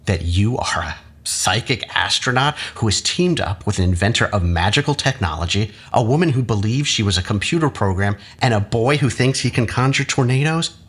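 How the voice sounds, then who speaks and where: An actor playing a manipulative academic husband in an audio drama, measured, coaxing and patronizing. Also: male voice, on the low side, lively intonation, breathy edge. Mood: condescending, insistent. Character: manipulative husband, an academic doctor, calmly condescending and persuasive.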